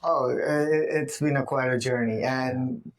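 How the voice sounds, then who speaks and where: A man who speaks English as a second language, telling his life story slowly on a podcast with many pauses. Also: mid-range voice, steady tone. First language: not English. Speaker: podcast guest. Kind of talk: casual personal storytelling. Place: home studio, indoors.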